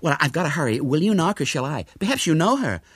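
A man voicing an excitable, eager young American lady tourist in a storybook reading. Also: fairly high pitch, fast talker, husky, dry recording, clean studio sound, pitched above the performer's own voice, full character voice. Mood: insistent. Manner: gushing, eager, wide-eyed. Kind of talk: storybook character voice.

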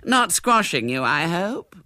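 A man's voice: high-pitched, very animated, slow, pushed and bright, no echo, studio quality, voice pushed up, heavily acted. A British man voicing a gushing, genteel, stout middle-aged woman in a storybook reading. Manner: gushing, polite.

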